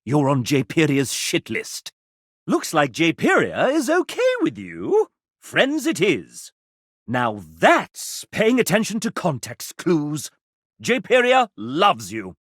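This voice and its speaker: A man voicing a sassy, arch gentleman who narrates a dating video game in a theatrical, mock-genteel and sardonic manner. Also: high-pitched, slightly rough, no room sound, clean studio sound, heavily acted. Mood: mock-regretful, cutting, then arch, playful.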